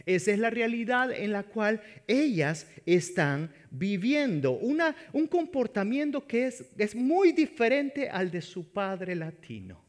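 A man from El Salvador preaching a sermon as pastor, animated and joking as he speaks straight to the congregation. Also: sits high, slow, few pauses.

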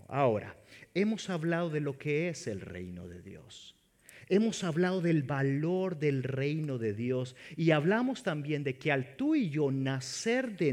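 Lively high-voiced preaching pastor. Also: male voice. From El Salvador. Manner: animated, joking, addresses the congregation directly. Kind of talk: sermon.

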